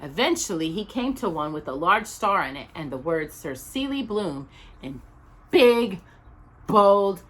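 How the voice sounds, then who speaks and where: A woman reading a children's storybook aloud as narrator, expressive and emphatic. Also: lowish voice, a little slow, husky. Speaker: storytime reader.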